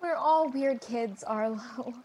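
A woman's voice: fairly high pitch, slow pace, a little mellow, a little hiss or hum. A woman playing a frank, wry teenage girl in a comedy radio play, talking in a confiding monologue.

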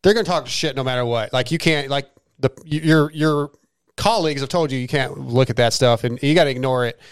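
A man's voice: mid-range voice, a little fast, smooth, dry recording, clean studio sound. A man hosting a casual podcast, laid-back and agreeable, swearing now and then. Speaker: podcast host.

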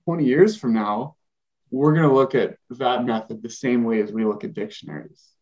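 A man's voice: medium pitch, moderate pace, darkish tone. A man co-hosting a podcast, speculating eagerly and laughing as he talks. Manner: enthusiastic, laughs while speculating. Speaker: podcast co-host. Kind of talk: casual chat. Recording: slightly dull lo-fi sound.

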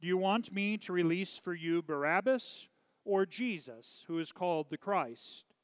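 A man voicing a troubled, pleading Roman governor in a dramatized scripture story, declamatory and deliberate. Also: high voice, lively intonation, smooth, no room sound, clean studio sound, long reverb tail, voice pushed up. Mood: questioning. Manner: emphatic, deliberate, declamatory questioning.

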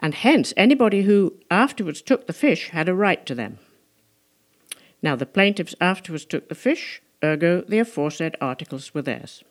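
A woman narrating a literary audiobook in a measured, rhetorical manner. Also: on the low side. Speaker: audiobook reader.

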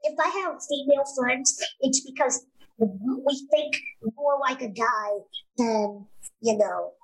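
A woman's voice: high-pitched, slow, breathy edge, low-quality recording. A woman in her late twenties hosting a casual interview, curious and agreeable, asking questions. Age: 20s. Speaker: host.

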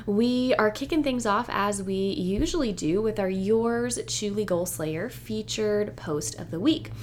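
A woman hosting her own podcast as a dietitian, a little hoarse and close to losing her voice, talking in a chatty, upbeat and reassuring way. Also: mid-range voice, slight background noise. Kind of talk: solo podcast intro monologue.

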